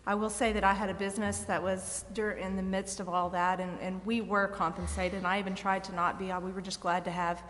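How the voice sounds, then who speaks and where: A woman's voice: medium pitch, level tone, a little fast, hardly any pauses, fairly bright tone. A woman giving informal, conversational remarks at a public meeting. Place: city council chamber. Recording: reverberant meeting chamber.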